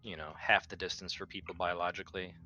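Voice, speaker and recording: A man's voice: deep voice, flat delivery, fast talker, bright, forceful tone, highs cut off, slight background noise. A man playing a tabletop roleplaying game, talking casually in character with the group.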